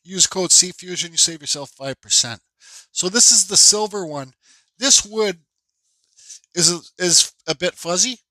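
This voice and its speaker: A man's voice: high voice, a little slow, sharp tone, no echo, rough recording. A congested man casually narrating a carving tutorial at his workshop bench, rambling with fillers and restarts. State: stuffed up, congested. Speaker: woodcarving instructor.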